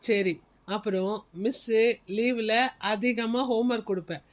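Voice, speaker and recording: A woman's voice: a little high, steady tone, a little slow, natural voice. A woman playing a strict but caring senior schoolteacher in a classroom skit, instructing small children and checking that they agree.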